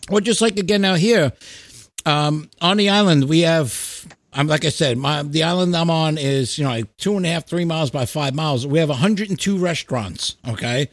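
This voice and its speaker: Man, slightly high voice, casual podcast chat, musician guest, animated storytelling, casual swearing, dry recording. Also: moderate pace, no echo.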